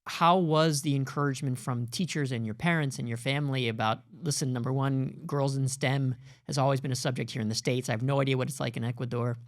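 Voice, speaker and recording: A man's voice: medium pitch, fairly even intonation, fast, hardly any pauses. A man hosting an interview, asking curious, rambling questions in a casual way. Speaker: host. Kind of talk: conversational interview.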